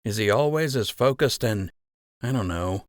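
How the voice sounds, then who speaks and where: A man's voice: fairly low pitch, animated, normal speed, dry recording, studio quality, lightly acted. A man voicing an earnest young male rabbit archer in an audiobook, sincere and inquisitive. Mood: curious.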